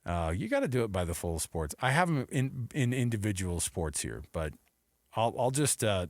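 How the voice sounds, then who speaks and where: A man's voice: on the low side, big pitch swings, moderate pace, no echo. An American man hosting sports talk radio with casual, chatty banter that jumps off the cuff between thoughts. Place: radio studio.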